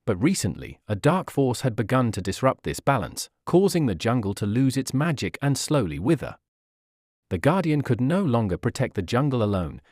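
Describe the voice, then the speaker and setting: Male narrator for kids' storybook: medium register, lively melodic delivery, dry recording. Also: mid-range voice, no echo. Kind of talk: scripted children's fairy tale narration.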